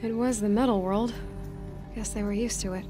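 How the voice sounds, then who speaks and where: Thoughtful young huntress for a game female actor, mid-pitched, curious, musing to herself in ruins, music underneath. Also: steady tone, moderate pace, natural voice. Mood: curious, pensive. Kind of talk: musing game-character monologue.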